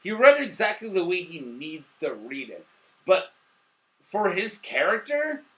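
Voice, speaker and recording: Male movie-review co-host, drunk and profane, high forceful voice, casual rambling delivery. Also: very animated, measured pace. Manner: boisterous, profane. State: drunk in the later part.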